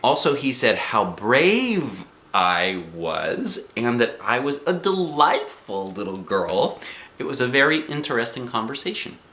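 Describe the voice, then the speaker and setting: A man voicing an excitable, boastful first-grade girl telling her journal in a dramatic, earnest, childlike way from a storybook. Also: medium pitch, measured pace, full character voice. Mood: excited. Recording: roomy home recording.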